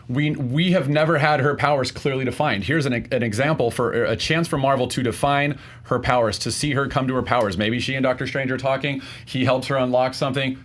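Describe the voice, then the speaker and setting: A man debating pop culture informally as a contestant, earnest and halting, often acting out imagined reactions. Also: medium pitch. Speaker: debate contestant on a movie debate show.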